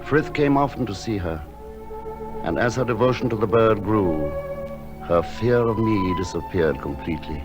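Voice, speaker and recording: A man playing a gentle, lonely recluse in a radio drama, speaking and narrating in a measured, literary way. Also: on the low side, lively intonation, close to an everyday voice. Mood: wistful, reflective. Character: lonely, gentle, misshapen male recluse who tends wild birds. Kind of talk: radio drama acting and first-person narration. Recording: music behind the voice.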